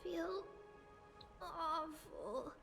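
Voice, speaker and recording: A woman playing a small, remorseful girl in a video game, halting and plaintive, with music behind her. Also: very high-pitched, heavily acted. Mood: tearful, guilty. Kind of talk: dramatic video game voice acting.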